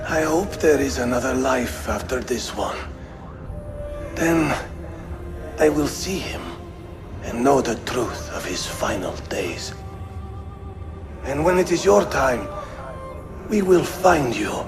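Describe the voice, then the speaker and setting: Gravelly, slow, vengeful old man. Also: mid-range voice, lively intonation, music in the background. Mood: vengeful threat. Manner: slow, accusing, dramatic. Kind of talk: video game cutscene acting.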